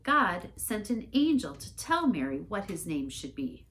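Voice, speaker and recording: A woman's voice: mid-range voice, sing-song, unhurried, fairly bright tone. A woman voicing an earnest, harried mother in a storybook, instructing children insistently. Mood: exasperated, insistent.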